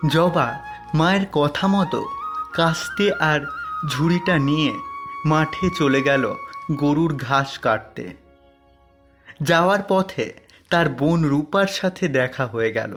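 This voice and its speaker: Slow-paced male storyteller with music behind. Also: a little high. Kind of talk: scripted narration of a cartoon fairy tale.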